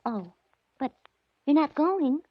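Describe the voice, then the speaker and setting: An actress playing a naive, worried, scatterbrained secretary in 1940s radio drama. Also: female voice, sits high, lively intonation, slow, no echo. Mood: fretful, naive. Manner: gushing, naive, hesitant. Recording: dull 1940s radio recording.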